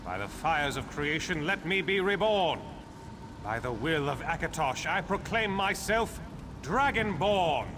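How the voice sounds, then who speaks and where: A man's voice: a little high, expressive, a little slow, background noise. A man playing a proud, ambitious nobleman claiming a throne, speaking in a grand, solemn fantasy game style. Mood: solemn, commanding. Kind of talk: dramatic fantasy game voice acting.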